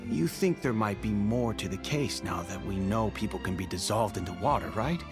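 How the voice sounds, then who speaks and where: Man acting breezy, animated town guard. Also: lowish voice, normal speed, over music, lightly acted. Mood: helpful, intrigued. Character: easygoing, wry male town guard, comfortably lazy. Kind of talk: video game voice acting, dramatic dialogue.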